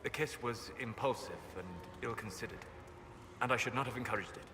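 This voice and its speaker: An actor voicing a reserved elven mage in a game, speaking in measured, formal phrases. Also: male voice, mid-range voice, lightly acted. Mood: hesitant. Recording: game audio with clear background noise.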